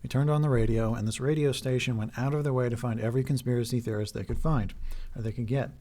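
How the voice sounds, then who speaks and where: A man in his thirties hosting his own video, talking earnestly and haltingly with many fillers and restarts, his voice getting hoarse. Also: mid-range voice, steady tone, dark tone. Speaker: video host. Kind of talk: earnest talk to camera.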